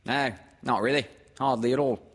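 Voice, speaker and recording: A man voicing a fussy, distracted mother kangaroo in a storybook, brisk and motherly. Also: mid-range voice, slightly rough, big-room sound, pitched above the performer's own voice, lightly acted.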